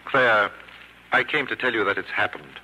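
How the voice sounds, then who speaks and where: A man's voice: fairly low pitch, expressive, normal speed, bright, forceful tone. An actor playing a proud, snobbish middle-aged father in a 1950s radio drama, speaking in a pompous, formal way. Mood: hurt, bewildered. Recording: noisy old radio broadcast.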